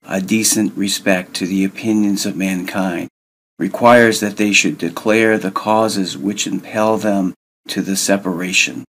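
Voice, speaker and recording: A man's voice: sits low, steady tone, measured pace, a bit bright, poor audio. A man reading a historical document aloud in a formal way as a volunteer. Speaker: volunteer reader.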